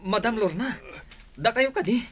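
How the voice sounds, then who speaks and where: A man's voice: high voice, lively intonation, normal speed, fairly bright tone. A man playing an earnest, helpful and respectful young man in an Ilocano radio drama. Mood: urgent, helpful.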